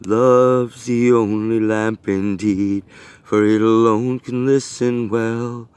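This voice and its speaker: A man reading his own rhyming poem aloud in an earnest, deliberate and rhythmic delivery. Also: fairly low pitch, fairly even intonation, a little mellow, clear, resonant tone, no room sound. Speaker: poet reading own work. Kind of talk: recited rhyming poetry reading.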